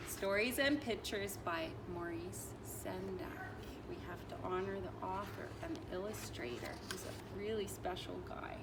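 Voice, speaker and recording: A woman reading a picture book aloud like a teacher, expressive, stretching and stressing her phrases for children. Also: medium pitch. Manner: expressive, drawn-out phrasing with emphasis. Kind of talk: animated children's storytelling. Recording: distant mic with clear background noise.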